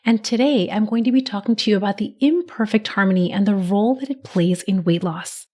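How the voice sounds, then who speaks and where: A woman in her thirties talks straight into a studio microphone, hosting her own podcast. Her voice carries a slight rasp from a cold, and she speaks in a gentle, encouraging coaching manner. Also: mid-range voice, fairly quick. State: raspy, recovering from a cold. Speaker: podcast host. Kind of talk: solo podcast monologue.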